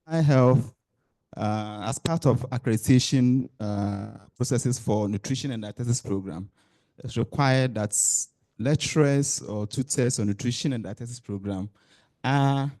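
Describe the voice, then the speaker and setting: A man, an association official giving a measured, explanatory reply at a meeting. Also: fairly low pitch, dark tone, no room sound. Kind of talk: meeting response.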